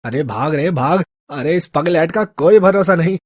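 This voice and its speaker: A man playing a mocking, cowardly street prankster in a story, excitable and full of slang. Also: a little high, moderate pace, no room sound, studio quality, close to an everyday voice. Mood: alarmed. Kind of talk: Hindi audio story character acting.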